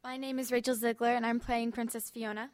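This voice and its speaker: A woman's voice: on the high side, little pitch movement, fairly quick. A teenage girl answering interview questions hesitantly, with many fillers. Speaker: student actor.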